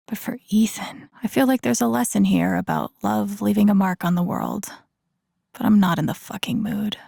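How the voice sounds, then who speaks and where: A woman's voice: medium pitch, vocal fry, dark tone, slightly rough, dry recording, clean studio sound. A woman voicing an introspective, wry and empathetic young woman in a video game, casually muttering her thoughts to herself in a naturalistic way. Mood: concerned, subdued. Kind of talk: naturalistic game character inner monologue.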